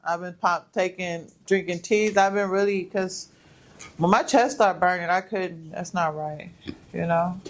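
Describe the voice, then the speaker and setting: A woman's voice: lowish voice, measured pace. A woman in her thirties co-hosting a podcast in casual chat, playful and teasing, laughing often. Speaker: podcast co-host.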